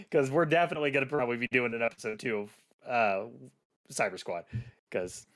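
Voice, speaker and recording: A man's voice: mid-range voice, brisk, fairly bright tone. A young man hosting a podcast who chats casually, rambling through stories and laughing easily. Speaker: podcast host.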